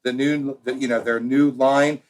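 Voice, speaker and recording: An American man co-hosting a podcast in casual, bantering talk, with an animated, showy and teasing manner. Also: medium pitch, measured pace. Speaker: podcast co-host.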